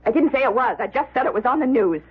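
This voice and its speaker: An actress playing a haughty, jealous, sharp-tongued woman in a radio drama, snappish and accusing. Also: on the high side, animated, fast, husky, phone-quality sound. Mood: cool.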